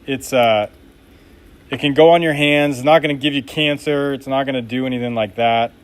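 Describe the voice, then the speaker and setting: A man's voice: mid-range voice, fairly even intonation, moderate pace, clean tone. A man making knives during a live stream, chatting casually and reading viewer comments aloud in an upbeat, laid-back way.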